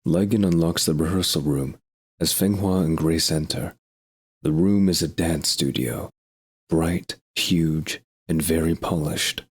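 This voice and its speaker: A man's voice: a bass voice, steady tone, a little slow, breathy edge. A man reading scripted narration for an audio drama.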